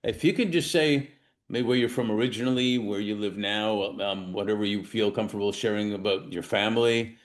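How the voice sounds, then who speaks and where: A man's voice: lowish voice, brisk. A man hosting a casual interview series, chatty and jokey, laughing often between questions. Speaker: interview host.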